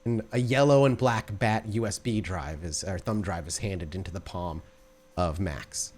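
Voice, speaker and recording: A man's voice: low voice, normal speed, a little mellow. A man narrating an improvised tabletop game as game master, descriptive and conversational.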